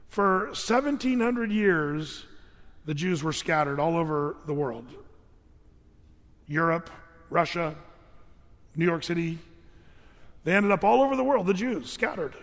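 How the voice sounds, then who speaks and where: A man's voice: sits high, long reverb tail. A man preaching a sermon on Bible prophecy, fervent and emphatic, often restarting his sentences. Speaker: pastor.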